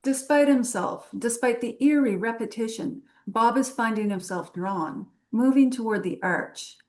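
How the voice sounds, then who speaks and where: A woman's voice: a little high, a little slow, darkish tone. A woman reading stage directions as dramatic scripted narration at a table read.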